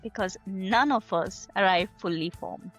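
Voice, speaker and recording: A woman hosting a podcast, giving an earnest, measured introduction and then asking her guest questions. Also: medium pitch, a bit bright, soft background music. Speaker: podcast host. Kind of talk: podcast interview.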